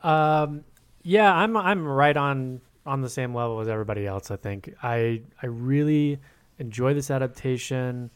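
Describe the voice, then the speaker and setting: A man's voice: medium pitch, expressive, moderate pace, clean tone. A man on a podcast panel, talking casually about film.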